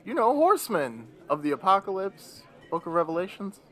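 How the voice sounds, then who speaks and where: A man's voice: sits high, big pitch swings, a little fast, fairly bright tone. A man playing a bitter, weary old Devil in a radio play, grumbling in a theatrical, sardonic way. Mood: resigned.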